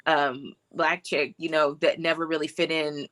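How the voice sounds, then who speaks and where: A woman's voice: low-pitched, flat delivery, moderate pace, fairly bright tone. A young woman from Hawaii, a filmmaker and actress, telling her story on a video call in a reflective, casual way, full of "like" and "you know". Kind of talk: casual interview.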